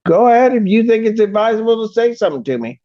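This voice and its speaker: A woman's voice: on the high side, big pitch swings, quick pace. An angry woman judge speaking sternly from the bench, scolding bluntly and emphatically. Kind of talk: stern courtroom address. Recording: dull, lo-fi courtroom audio.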